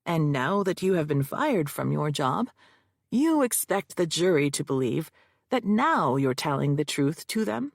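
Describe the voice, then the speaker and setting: A cold, needling male defense lawyer, read by a woman who grinds out every question in a low, gravelly voice. The sound is close and bone-dry, as in a studio audiobook reading. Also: sing-song, unhurried, no room sound, heavily acted. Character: aggressive male defense lawyer cross-examining.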